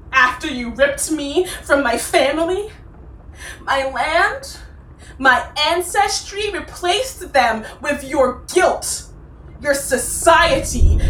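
Stage monologue role: righteous, defiant wronged woman, incantatory, very slow delivery, recorded in a roomy space. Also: sits high, a bit bright, heavily acted. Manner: declamatory, deliberate, incantatory. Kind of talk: theatrical poetic monologue. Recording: roomy, distant microphone, faint noise.

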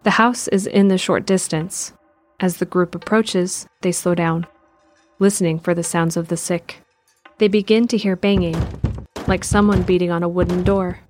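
A woman with a lowish voice narrates a scripted drama in an even, descriptive manner. Faint music plays beneath her reading. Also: normal speed.

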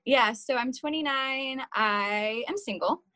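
A woman, a guest on a podcast, talking in a relaxed, giggly way with lots of "like.". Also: on the high side, takes it slowly, no echo, clean studio sound. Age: 20s. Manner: relaxed, giggly, says "like" a lot. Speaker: guest, photographer and worship leader. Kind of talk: casual chat. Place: home, talking over a video call.

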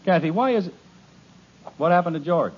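A man's voice: on the high side, expressive, a little fast, a little hiss or hum, natural voice. An actor playing a harried suburban father who is sarcastic and exasperated, in 1950s radio comedy. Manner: exasperated, wry. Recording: muffled old radio broadcast.

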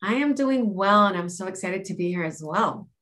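A woman's voice: mid-range voice, expressive, moderate pace, a bit bright. A woman from Los Angeles, a life and health mentor, reflectively telling her own story in a podcast interview. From Los Angeles, United States.

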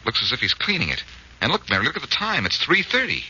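An actor playing a confident, sharp-witted amateur detective in a 1940s radio drama, brisk and wry as he thinks aloud, on a muffled old recording. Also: medium pitch, huge pitch swings, a little hiss or hum, full character voice. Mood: excited deduction.

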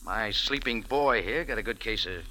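A man's voice: on the low side, moderate pace, bright, forceful tone, slight background noise. A man playing a tough, wry cafe owner and sleuth in a 1940s radio drama, speaking in a clipped, sardonic, hard-boiled way. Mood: knowing, cool. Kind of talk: 1940s radio detective drama acting and narration. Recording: vintage 1940s radio recording with music.

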